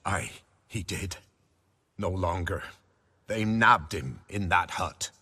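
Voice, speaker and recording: A haunted, bitter peasant in a fantasy game. He speaks slowly, with a strained, extremely husky voice. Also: low voice, lively intonation, bright, forceful tone, exaggerated voice. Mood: haunted, grieving. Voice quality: hoarse, raspy. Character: shaken, grieving male peasant villager, haunted and bitter. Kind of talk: video game acting.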